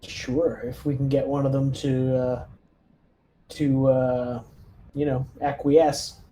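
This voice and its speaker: A man's voice: mid-range voice, level tone, slow, mellow, low-quality recording. A man chatting casually as a player in a tabletop roleplaying game, thinking aloud in a tentative way. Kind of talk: casual in-character group chat. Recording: home recording with faint noise.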